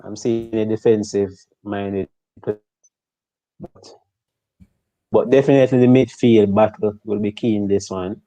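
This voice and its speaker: A man giving football analysis on a live stream, speaking slowly and hesitantly with many pauses. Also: on the low side, fairly even intonation, warm tone, dry recording. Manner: slow, measured, hesitant with ums. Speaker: football pundit. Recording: dull, dry remote mic.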